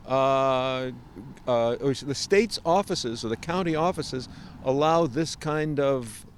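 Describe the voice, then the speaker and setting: A man with an average-pitched voice poses interview questions. He speaks haltingly, often searching for the right word, with faint outdoor traffic noise in the recording.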